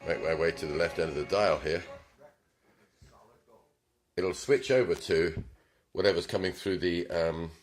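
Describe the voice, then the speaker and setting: Low-voiced man demonstrating a radio. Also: steady tone, a little slow, pauses often. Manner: meandering, frequent ums and throat clearing. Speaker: vintage car radio repair technician. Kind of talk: casual hands-on product demonstration. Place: small repair shop. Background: car radio playing music.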